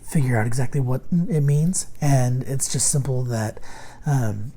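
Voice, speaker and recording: A man hosts a relaxed card review while his voice is nearly gone, so it sounds hoarse and strained. His tone is dark and mellow. He talks in a halting, meandering way, with frequent ums and restarts. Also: mid-range voice. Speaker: host reviewing a card game deck. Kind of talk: casual unboxing and card review.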